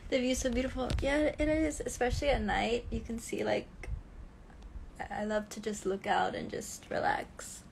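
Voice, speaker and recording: A woman's voice: on the high side, fairly even intonation, gravelly, slight background noise, low-quality recording. A young actress casually answering fan comments on a phone live stream from home, stumbling through restarts as she talks. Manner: reads comments softly, answers with halting restarts.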